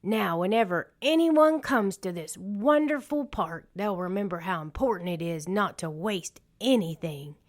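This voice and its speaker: A proud, kindly male farmer from a children's story, read by a woman. He speaks sincerely and takes his time, with gentle emphasis and lively melodic phrasing. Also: mid-range voice, unhurried, few pauses, lightly acted.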